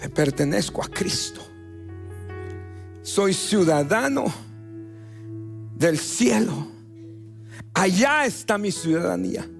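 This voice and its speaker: A man's voice: sits high, big pitch swings, creaky, faint music behind. A man with a Guatemalan accent preaching a sermon as a pastor, stretching out words for emphasis. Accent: Guatemalan Spanish. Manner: preaching with emphatic drawn-out stresses. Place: church sanctuary.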